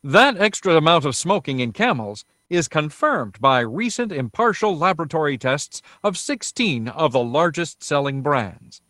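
Animated, high-ish 1930s radio pitchman. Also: dry recording, studio quality. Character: persuasive 1930s male radio announcer and pitchman. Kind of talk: 1930s radio advertising and scene-setting narration.

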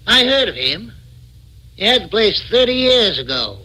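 An actor playing a brusque, suspicious country farmer in an old-time radio drama, folksy and blunt with a raspy, high voice. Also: male voice, very animated, normal speed, sharp tone, exaggerated voice, rough recording. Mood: gruffly offhand.

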